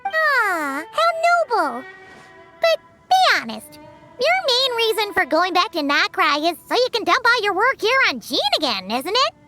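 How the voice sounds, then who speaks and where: A woman voicing a small, excitable companion character in a video game, teasing and cheeky. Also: very high-pitched, measured pace, exaggerated voice. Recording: game audio with faint music.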